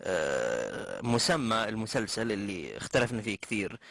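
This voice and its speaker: A man playing a hesitant young cartoon man leading a meeting, his voice gravelly and slowed by drawn-out "uh"s. It sounds dry and close. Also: on the low side, very animated, fairly bright tone, no room sound, full character voice.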